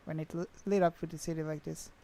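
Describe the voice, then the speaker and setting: Gravelly Swedish-accented streamer. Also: male voice, on the high side, level tone, fast, warm tone. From Sweden. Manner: chatty, reads out chat comments, hesitant with many fillers. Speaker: livestreaming flight sim host. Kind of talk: casual livestream chat.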